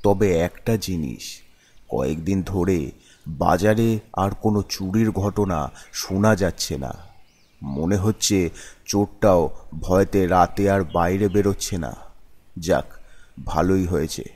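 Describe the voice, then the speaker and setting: A man plays an uneasy shopkeeper narrating a horror cartoon. His voice is very low and dark, with slow, brooding delivery full of doubt. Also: heavily acted. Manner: brooding, questioning. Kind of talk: first-person cartoon ghost-story narration.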